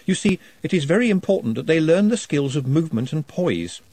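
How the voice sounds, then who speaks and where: A man's voice: on the high side, big pitch swings, brisk, darkish tone, no room sound, pitched above the performer's own voice. A man voicing a nervous, formal young scholar who speaks precisely and earnestly.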